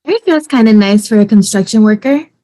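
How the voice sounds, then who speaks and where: A woman's voice: mid-range voice, lively intonation, brisk, mellow, close to an everyday voice. A woman playing an easygoing but bewildered young wife in a sitcom table read, natural and puzzled in manner. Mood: reassuring.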